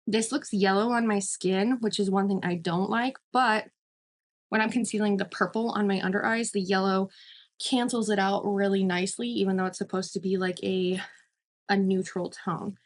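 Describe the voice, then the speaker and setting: A woman's voice: medium pitch, fairly even intonation, normal speed. A woman in her mid-thirties giving a casual makeup review as a beauty vlogger, chatty and emphatic, often repeating words for emphasis.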